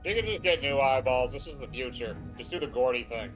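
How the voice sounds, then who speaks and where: A man's voice: medium pitch, expressive, brisk, rough recording. A man in casual let's-play chat as a co-player, offhand, joking and sweary. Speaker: co-player on a game stream. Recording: game music behind the voice.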